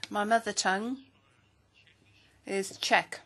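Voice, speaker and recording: A woman interpreting into English at a hearing, with an even, measured delivery and occasional self-corrections. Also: medium pitch. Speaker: simultaneous interpreter.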